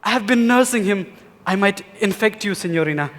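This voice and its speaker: A man's voice: sits high, lively intonation, fairly quick, a bit bright. A man playing a devoted young servant who pleads anxiously and keeps saying "Señor". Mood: pleading. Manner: pleading, repeats "Señor" and "Signorina". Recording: long reverb with music behind.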